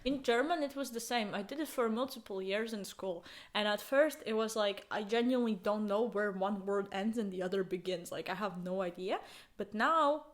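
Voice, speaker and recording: A woman's voice: medium pitch, moderate pace. A young woman from Latvia, a native Latvian speaker, co-hosting a podcast in casual, rambling chat full of fillers and laughter. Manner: rambling, filler-heavy, laughs while talking. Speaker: podcast co-host.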